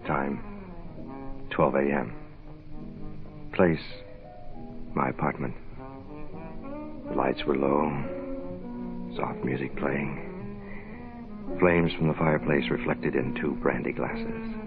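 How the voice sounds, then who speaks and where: Very deep-voiced, deadpan private eye. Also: male voice, takes it slowly, pauses often, heavily acted. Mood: cool, brooding. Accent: American. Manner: laconic, deadpan. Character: cool, wry hard-boiled male private investigator. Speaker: lead actor and narrator. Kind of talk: 1960s radio detective drama acting, first-person narration. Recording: muffled phone-like old radio, music behind.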